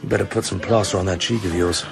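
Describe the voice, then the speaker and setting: British spy, lowish pitch, dry, controlled, commanding, radio drama, music underneath. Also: male voice, steady tone, fast talker, gravelly. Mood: calm, dry. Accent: British English. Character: cool, commanding British secret agent.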